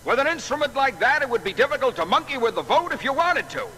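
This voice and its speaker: An actor playing a shrewd, blunt old politician, declamatory and condescending, in a dramatised biography. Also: male voice, very high-pitched, sing-song, quick pace, pushed and bright, exaggerated voice. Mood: patronising, firm. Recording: long hall reverb, faint noise.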